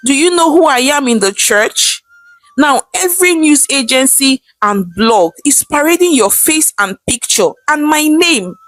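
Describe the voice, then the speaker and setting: A woman playing a stern middle-aged male pastor who speaks slowly and reproachfully. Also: sits high, fairly bright tone, no echo, voice pushed up, rough recording. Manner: slow, grave, reproachful.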